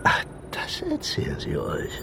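An actor playing an eccentric, calm guide in a virtual world, with a theatrical delivery that swings from hushed to shrill, in a German science fiction radio play. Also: male voice, unhurried, music in the background, lightly acted. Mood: solemn, probing. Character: Farin, eccentric calm virtual-reality guide and prophet-like host.

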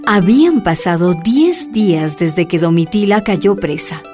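Female narrator, steady storytelling, music bed underneath. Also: on the low side, measured pace. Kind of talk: scripted radio drama narration.

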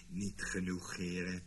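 A man's voice: sits low, monotone, slow, very noisy. A man acting in a radio play as a reluctant, shrewd mercenary, speaking in a dramatic, sardonic way. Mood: cool, sardonic. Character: reluctant, shrewd mercenary male impersonator recruited by spies.

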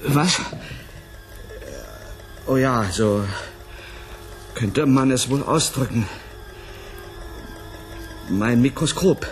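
An actor playing an agitated, insistent scientist at a space colony in a 1980s German radio play, speaking with dramatic emphasis. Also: male voice, medium pitch, extremely slow, long pauses. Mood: shaken.

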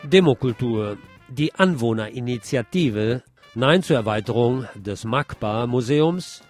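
A man's voice: medium pitch, animated, a little slow. A man presenting scripted local news on a radio show, with music behind him.

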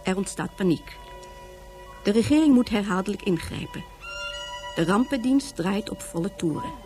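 A woman plays a composed radio drama narrator. Her medium-pitched voice is measured and formal, with prominent music behind it. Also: expressive, darkish tone, natural voice. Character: composed female storyteller setting out science fiction tale.

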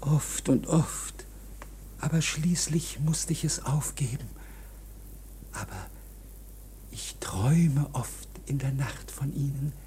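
A man's voice: medium pitch, takes it slowly, long pauses, dark tone, natural voice. Furtive, anxious litigant, played by a man, hissy recording. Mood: confiding, haunted. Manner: furtive, pleading, often dropping to whispers. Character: timid, anxious, obsessive male litigant, furtive and submissive. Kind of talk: German radio play acting.

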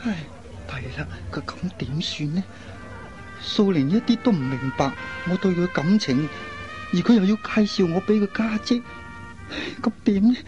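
A man's voice: high-pitched, lively intonation, moderate pace, music in the background. A man acting a mild, honest young bachelor in a radio drama, with a hesitant, sighing delivery, on a lo-fi recording. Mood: troubled.

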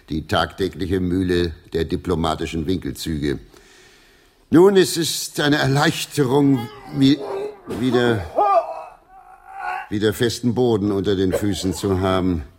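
A man playing a courteous, theatrical English actor in a radio drama, with a deep, husky voice. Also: a little mellow, slight background noise. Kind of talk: German radio drama acting.

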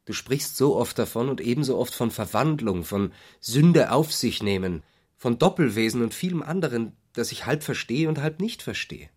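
A man's voice: mid-range voice, expressive, moderate pace, darkish tone, slightly rough. A man playing a troubled, sceptical husband in a literary radio drama, questioning and uneasy, with reproach in his manner. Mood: puzzled, weary. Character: Walter, troubled, sceptical husband of an eccentric woman.

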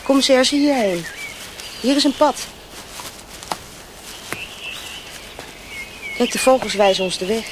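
An actress playing a dreamy, romantic young woman in love in a radio drama, wistful and often hushed and breathy. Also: on the high side, moderate pace, lightly acted. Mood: excited, eager. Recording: clear outdoor nature noise.

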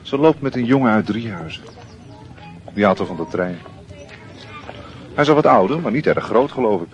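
Low-voiced gossiping schoolboy played by a man. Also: animated, moderate pace, dull audio, lightly acted. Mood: placating. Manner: casual, swearing, teasing slang. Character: chatty, gossiping teenage schoolboy friend. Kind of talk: Dutch radio play acting.

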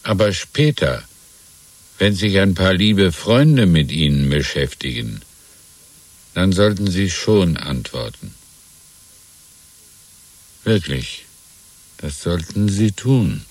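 An actor playing a sly old landlord and informer in a radio drama, husky and unctuous, with menacing mock politeness. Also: male voice, low-pitched, animated, slow pace, pauses often, no echo, full character voice. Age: older. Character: sly old landlord, secret thought-police informer, falsely courteous. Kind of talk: 1970s German radio drama acting.

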